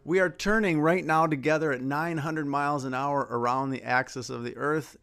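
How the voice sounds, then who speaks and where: A man's voice: medium pitch, creaky, fairly bright tone, clean tone. An American man from Minnesota talking casually and conversationally as a singer-songwriter introducing a song and teaching a sing-along. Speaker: singer-songwriter and guest performer. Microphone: performing over an online video stream. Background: acoustic guitar being strummed and tuned.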